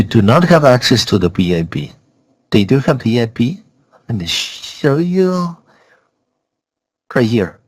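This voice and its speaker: Slow-talking, insistent man with swooping pitch. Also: no room sound. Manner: blunt, insistent, repeating himself. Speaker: software reviewer. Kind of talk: casual spoken review.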